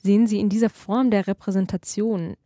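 A woman's voice: mid-range voice, steady tone, brisk, mellow, dry recording, clean studio sound. A woman interviewer putting careful, articulate questions in a cultural radio interview.

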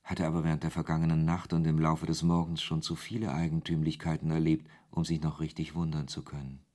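A man's voice: very deep voice, little pitch movement, fairly quick, dark tone. A man reading scripted literary narration as the narrator of a radio play. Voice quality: husky.

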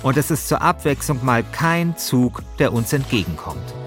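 A man's voice: mid-range voice, expressive, normal speed, music in the background. A man hosting a show, moving between narration and casual conversation. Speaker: podcast host.

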